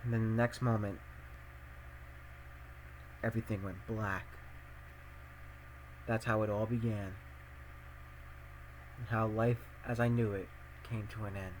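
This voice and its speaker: A man playing an anxious, earnest teenage high-school boy who narrates his own thoughts in a visual novel fan dub, musing and questioning himself. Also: level tone, measured pace, long pauses, slight background noise, lightly acted, poor audio. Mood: ominous, dramatic. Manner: self-questioning, musing aloud.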